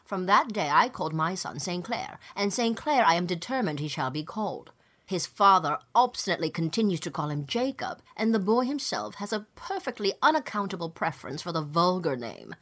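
A woman's voice: lowish voice, big pitch swings, fairly quick, fairly bright tone. A woman voicing a haughty, affected society matron with a pompous, insistent manner in an audiobook. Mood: haughty, indignant. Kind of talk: audiobook character dialogue.

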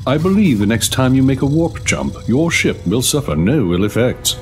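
A man's voice: mid-range voice, big pitch swings, normal speed, faint music behind, close to an everyday voice. A man playing a polite, literal-minded alien host program with a formal, precise, deferential butler manner in a sci-fi audio drama. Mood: polite, unruffled.

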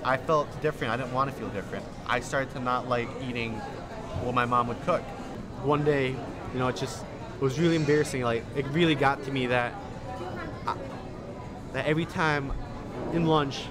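A young adult man with an American accent, telling a personal story in a casual, halting, reflective way. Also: mid-range voice. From Indonesia and Illinois, United States. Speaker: documentary interviewee. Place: busy food hall, indoors. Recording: loud busy restaurant crowd noise. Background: loud crowd chatter.

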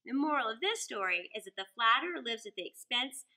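A middle-aged woman hosting a children's storytime, reading aloud expressively with drawn-out emphasis. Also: a little high, big pitch swings, pushed and bright. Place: outdoors among rocks and shrubs.

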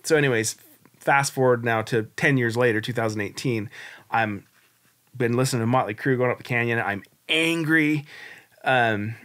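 A man's voice: mid-range voice, normal speed, a bit bright. A man in his forties, a guest on a podcast, telling his story in a candid, reflective, rambling way. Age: 40s. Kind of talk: casual interview storytelling.

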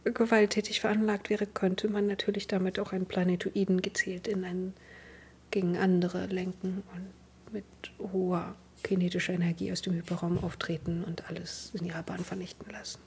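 A woman voicing a nervous, eager inventor in a sci-fi roleplaying game, halting and trailing off into breathiness. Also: fairly low pitch, little pitch movement, darkish tone, a little hiss or hum, natural voice.

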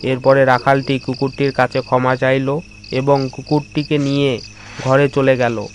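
A man's voice: medium pitch, little pitch movement, measured pace. A man narrating a scripted children's story as its storyteller. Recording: loud background noise, animal sounds.

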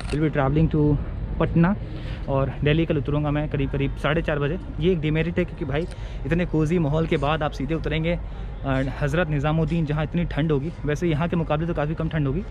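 Young male travel vlogger, slightly high voice, casual and chatty, handheld camera on a busy railway platform with steady background noise. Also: a little fast, lo-fi sound. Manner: chatty, mixes Hindi with English phrases. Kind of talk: casual vlog narration to camera. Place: railway platform and train coach, in and out of doors. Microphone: handheld action camera at arm's length. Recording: noisy station ambience.

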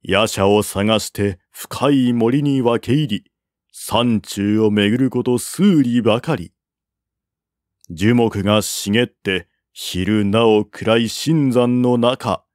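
Slow, measured male storyteller. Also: mid-range voice, darkish tone, no room sound, studio quality. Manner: measured, formal storytelling delivery. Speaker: narrator, audiobook-style reader. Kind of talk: scripted narration of a historical Chinese novel.

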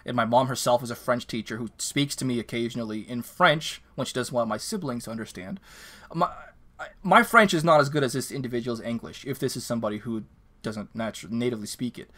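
A Canadian man co-hosting a podcast, chatting casually, ranting with animated, self-mocking energy. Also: medium pitch. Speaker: podcast co-host. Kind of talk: casual chat. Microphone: video call.

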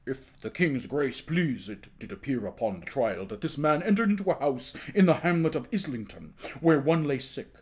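A man's voice: medium pitch, animated, normal speed, hardly any pauses, darkish tone, husky. A man voicing a deferential under-sheriff in an audiobook, reporting formally to his sovereign. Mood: factual. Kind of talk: dramatized audiobook reading.

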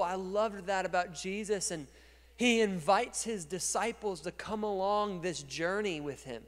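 A man in his thirties preaching a sermon as pastor on a church stage, speaking into a handheld mic and swinging from quiet to loud. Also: sits high. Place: large dark church stage. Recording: long reverb of a large church hall.

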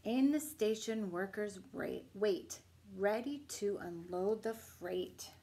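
A middle-aged woman reading a picture book aloud as a children's storytime host, with a deliberate, singsong delivery. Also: medium pitch, expressive, dark tone. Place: small room at home, indoors. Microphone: camera a few feet away.

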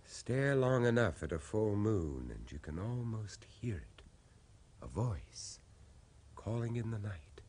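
A man's voice: on the low side, very animated, darkish tone, slightly rough, exaggerated voice. Grave grieving father, dramatically swooping voice. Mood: grave, intense. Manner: grave, dramatic, deliberate phrasing. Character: grieving 19th-century father searching for his lost son. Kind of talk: 1970s radio horror play acting.

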